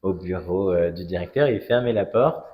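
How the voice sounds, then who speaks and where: A man in his forties from Strasbourg, France, telling his story as an interview guest in a measured, hesitant way with frequent pauses. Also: deep voice, muffled recording. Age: 40s. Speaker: interview guest, cigar brand ambassador. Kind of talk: conversational interview, personal story.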